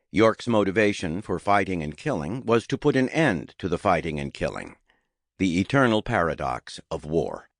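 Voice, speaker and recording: Male nonfiction narrator, low register, measured and deliberate, recorded close and dry. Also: dry recording. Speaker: audiobook narrator. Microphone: close studio microphone.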